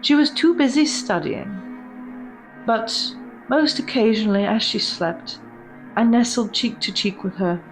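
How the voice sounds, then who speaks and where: Woman doing a creepy prim porcelain doll lady, kinda high voice, super proper and vain, dramatic pauses, music playing behind, gothic horror radio play. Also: lively intonation, low-quality recording. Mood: fond, nostalgic. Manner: formal, refined, dramatic diction with deliberate pauses.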